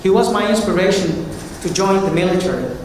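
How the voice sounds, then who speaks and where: A man's voice: high-pitched, brisk, background noise. A man giving earnest, formal testimony as a witness at a hearing, reading from a prepared statement. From Puerto Rico. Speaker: hearing witness, military veteran. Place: large hearing room. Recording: echoey hearing room from far off.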